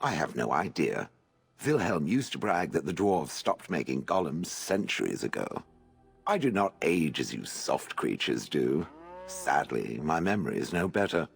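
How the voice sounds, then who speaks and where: An ancient stone golem from a video game, with a low, raspy voice that rises and falls expressively. It sounds grumpy and haughty, full of dry scorn for soft humans. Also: male voice, very animated, moderate pace, faint music behind, full character voice. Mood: musing, dry. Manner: sardonic, haughty, blunt, dry. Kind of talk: video game dialogue acting.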